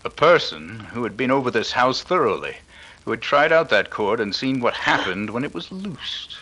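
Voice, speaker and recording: A man playing a shrewd, authoritative detective in a 1930s-style radio mystery, speaking with deliberate, accusing authority. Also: medium pitch, big pitch swings, bright, forceful tone, poor audio. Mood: grave, deliberate. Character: shrewd, commanding male detective exposing a murderer.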